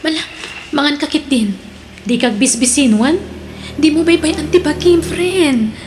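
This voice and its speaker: A woman's voice: high-pitched, a little slow, slight background noise. An actress with an Ilocano accent playing a caring, urging female friend in a radio drama. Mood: urging, consoling.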